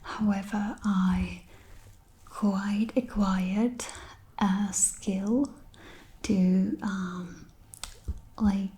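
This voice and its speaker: A Czech-accented woman whose first language is Czech, giving a soft-spoken ASMR lesson in a whispery voice with hesitant ums and likes. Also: medium pitch, steady tone, drawn-out words, long pauses. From Czech Republic. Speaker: ASMR host.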